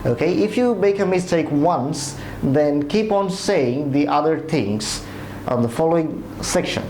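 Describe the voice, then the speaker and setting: A man's voice: on the high side, animated, unhurried, slight background noise. Echoey, hesitant male tutor. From Bangladesh. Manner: hesitant, many "uh" fillers and restarts. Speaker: IELTS tutor. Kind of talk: spoken exam tips lesson. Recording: roomy echo, soft music behind.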